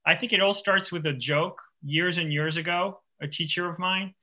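A man from France with a very bright, forward voice that sits a little high. He talks as an author guest in a radio interview. He speaks thoughtfully, restarting often and filling pauses. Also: fairly even intonation. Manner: thoughtful, many restarts and fillers. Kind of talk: radio interview, reflective conversation.

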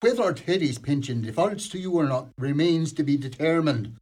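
Suspicious Irish investigator, radio play, husky, animated pitch, dry, stern. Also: male voice, moderate pace. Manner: dry, probing. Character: shrewd, suspicious Irish small-town investigator, dryly stern.

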